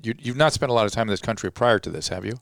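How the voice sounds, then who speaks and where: A man hosting a talk show, chatty and quick to jump in with questions. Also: lowish voice, expressive, vocal fry, no echo, studio quality.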